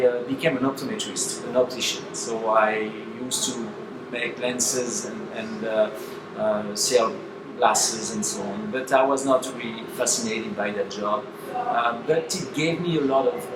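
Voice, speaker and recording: A man in his forties with a French accent, a painter telling his own story and searching for words as he goes. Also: medium pitch. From France. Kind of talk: personal account and speech. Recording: echoey and noisy, from far off.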